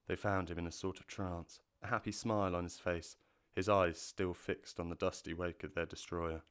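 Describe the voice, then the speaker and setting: Deep, clear male storybook narrator. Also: little pitch movement, moderate pace, a bit bright.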